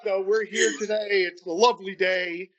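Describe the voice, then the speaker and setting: Podcast host: male, very high register, slangy and casual, muffled lo-fi audio. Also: steady tone, moderate pace, a bit bright. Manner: informal, slangy. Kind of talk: casual chat.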